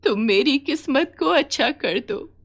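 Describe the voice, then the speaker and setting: A woman plays an old village grandmother in a moral tale, sorrowful and tender. The voice is raised high and slow, with drawn-out, plaintive pleading that swings widely. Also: animated. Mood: tearful, pleading. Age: older.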